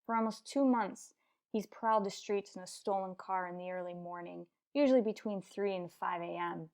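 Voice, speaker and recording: Woman acting a terse, deadpan female police detective. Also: medium pitch, moderate pace, natural voice. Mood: grim narration. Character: tough, businesslike female police detective sergeant. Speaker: narrator and lead actor. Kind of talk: old-time radio detective drama narration, clipped and matter-of-fact.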